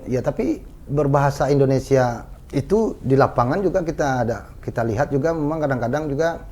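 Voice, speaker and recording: A man's voice: mid-range voice, brisk, darkish tone. A man hosting a talk show, talking conversationally and often agreeing with his guest. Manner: conversational, frequent backchannel agreement.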